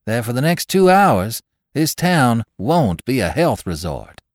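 A man's voice: medium pitch, sing-song, measured pace, dry recording, studio quality, pitched above the performer's own voice. A man voicing an urgent young man bringing a warning in a storybook reading, speaking emphatically. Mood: grave.

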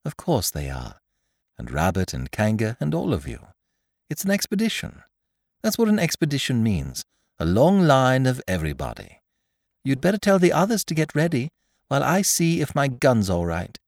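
Confident storybook lad, acted by a man, breezy and assured, with animated intonation. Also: medium pitch, normal speed, vocal fry, no echo, studio quality, pitched above the performer's own voice, exaggerated voice. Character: confident, carefree young boy leader. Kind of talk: children's storybook reading.

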